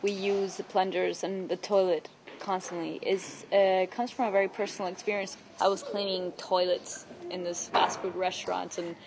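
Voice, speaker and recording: A woman from Chile, a theatre artist, talking in an earnest, thoughtful interview with many pauses. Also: mid-range voice, little pitch movement, noisy background. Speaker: theatre artist interviewed about her play. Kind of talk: reflective personal interview.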